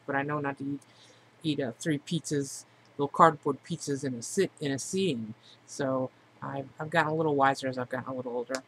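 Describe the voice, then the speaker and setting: A woman in her forties talking casually and candidly about herself in a reflective personal monologue, as a vlogger would. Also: very deep voice. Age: 40s. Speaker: vlogger introducing themself. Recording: clearly noisy amateur recording.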